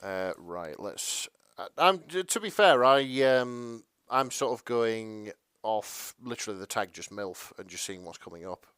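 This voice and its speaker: A man's voice: on the low side, animated, measured pace, fairly bright tone, smooth, no room sound, clean studio sound. A man co-hosting a podcast in casual banter, reacting wryly and swearing now and then. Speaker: podcast co-host.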